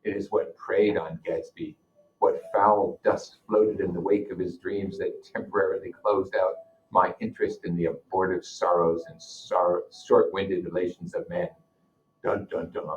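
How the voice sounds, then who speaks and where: A man's voice: deep voice, fairly even intonation, unhurried. A man teaching a class, reading a novel aloud and breaking off to explain it informally and put questions to his listeners. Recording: dull, lo-fi audio.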